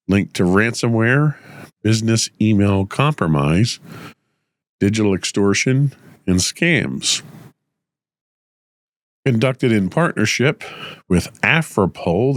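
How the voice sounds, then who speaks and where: A man hosting a tech news podcast, delivering measured, explanatory commentary with a voice slightly rough from a cold. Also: fairly low pitch, no echo, studio quality. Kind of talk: news commentary, partly read from script.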